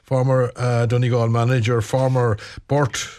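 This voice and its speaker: A man hosting a radio chat show, casual and prompting, with a mid-range voice. He keeps the talk moving with quick questions and agreements. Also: mid-range voice, flat delivery, a little mellow. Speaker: radio presenter.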